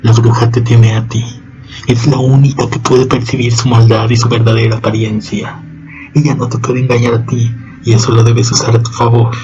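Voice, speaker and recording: A man hosting a horror radio show, speaking slowly in an ominous, theatrical manner. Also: medium pitch, level tone, lo-fi sound, music in the background. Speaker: host.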